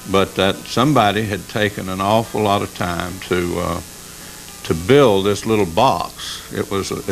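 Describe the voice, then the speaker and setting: An older man speaking off the cuff in an interview, reminiscing in a halting, reflective way. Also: deep voice, vocal fry, a bit bright, a little hiss or hum, low-quality recording. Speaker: interviewee, radio collector.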